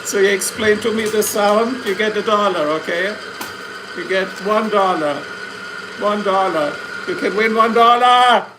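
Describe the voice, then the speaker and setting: A man's voice: high voice, measured pace, background noise. A man with a German accent hosting a livestream, chatting casually with viewers in a joking, rambling way and laughing often. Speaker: livestream host.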